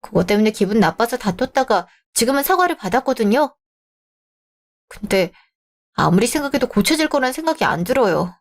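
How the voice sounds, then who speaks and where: A young bride-to-be, voiced by a woman in a radio drama, speaking in a raised, higher-pitched voice. She is polite and measured, but she holds her ground. She speaks her mind without raising her voice. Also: sing-song. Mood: troubled.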